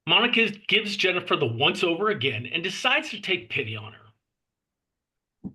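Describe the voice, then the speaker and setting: A man narrates a screenplay in a fairly high, forceful voice with a husky grain. He delivers the stage directions in measured phrases and clips the scene headings short. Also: big pitch swings.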